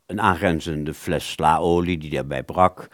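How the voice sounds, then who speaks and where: A man playing a smooth-talking young musician in an audio drama, telling his tale and reassuring his landlady. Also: extremely low pitch, measured pace, no room sound, studio quality. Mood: wry recounting. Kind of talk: Dutch audio drama acting and narration.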